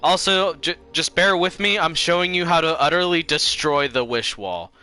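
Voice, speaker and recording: A man's voice: on the high side, normal speed, pushed and bright, clear, resonant tone, soft background music. A man chatting casually while livestreaming a game, rambling and circling back over his own sentences. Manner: rambling, easily distracted, repeats himself.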